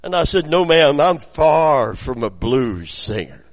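A raspy-voiced preacher, middle-aged, telling stories from his week in a sermon. His voice sits a little high for a man, and he drops to a murmur before rising to loud, ringing praise. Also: very animated, measured pace. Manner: swings between quiet storytelling and loud exclamations. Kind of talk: sermon with personal anecdotes.

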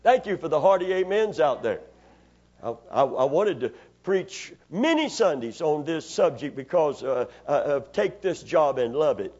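A higher-voiced male pastor preaches in a church, calling out for amens and drawing the congregation into his message. The recording sounds dull and lo-fi, as though captured on older church equipment. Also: lively intonation, normal speed, darkish tone. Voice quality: raspy after Sunday preaching. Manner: call-and-response preaching, asks for amens. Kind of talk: sermon. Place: church sanctuary.

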